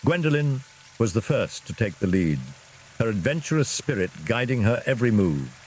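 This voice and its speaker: A man's voice: on the low side, darkish tone, a little hiss or hum, poor audio. A man narrating a scripted fantasy story in a dramatic storytelling style.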